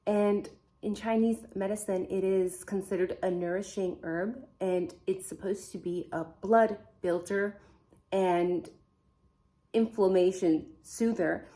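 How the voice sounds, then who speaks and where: A woman hosting a true crime vlog speaks slowly and unhurriedly, in English that is not her first language. Her voice sits at an ordinary middle pitch. She chats casually, thinks out loud and keeps asking her viewers what they make of things. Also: steady tone. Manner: chatty, wondering aloud, asks viewers questions. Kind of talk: casual to-camera chat.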